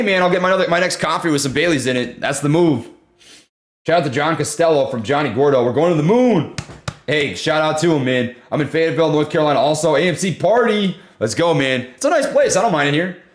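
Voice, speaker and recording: A young man hosting a casual livestream, talking loudly and excitably while reading chat. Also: a little high, brisk. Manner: loud, excitable, says he always yells. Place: home room.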